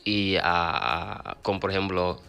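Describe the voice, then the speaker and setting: A man with a Fula accent, a native Fula speaker, talking as a radio interview guest, halting with frequent restarts and repeated words. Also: low-pitched, fairly even intonation, gravelly, bright, forceful tone, smooth. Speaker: guest, author of a Fula learning book.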